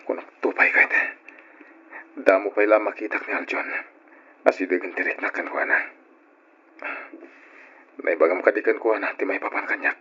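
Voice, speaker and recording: A man playing an insistent married father in an Ilocano radio drama, with a husky voice. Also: fairly low pitch, level tone, moderate pace, pauses often, sharp tone. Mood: pleading, insistent. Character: Jerome, insistent married father demanding truth about his son. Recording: muffled lo-fi old radio recording.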